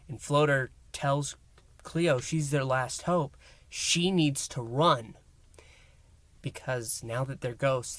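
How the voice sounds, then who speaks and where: A man hosting a review and recap, speaking in an emphatic, theatrical way with dramatic pauses. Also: mid-range voice, a bit bright, no echo. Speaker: host, reviewer. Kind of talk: enthusiastic scripted-style recap and review to listeners.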